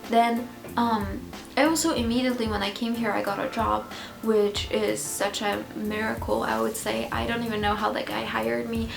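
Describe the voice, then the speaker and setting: A woman's voice: a little high, steady tone, moderate pace, vocal fry, music in the background. A woman in her twenties from Poland, a native Polish speaker with a Polish accent, chatting casually and a little hesitantly to camera as a vlogger. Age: 20s. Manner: hesitant, slightly nervous. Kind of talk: casual sit-down chat to camera. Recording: roomy indoor echo.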